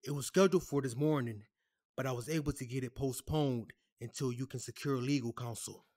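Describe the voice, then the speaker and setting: A man playing a composed, apologetic corporate lawyer in an audio drama, speaking in a measured, formal way. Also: medium pitch, darkish tone, lightly acted. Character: composed, apologetic corporate defense lawyer delivering bad news.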